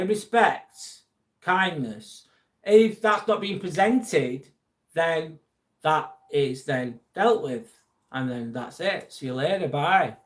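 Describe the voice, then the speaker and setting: A man with an English accent hosts a live stream, reading viewers' comments aloud in a loose, chatty way. His voice sits a touch higher than most men's. He jokes along, with a mild edge of irritation when talking about a troll. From England.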